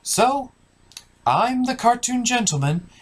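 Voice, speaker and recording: A man's voice: very high-pitched, sing-song, takes it slowly. A man hosting a scripted comedic cartoon review, casual and theatrical in his delivery.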